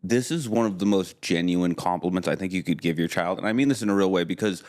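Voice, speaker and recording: A man's voice: low-pitched, quick pace. A man, a comedian, telling stories and trading dry jokes as a podcast guest. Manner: dry, joking, lively storytelling. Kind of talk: casual chat.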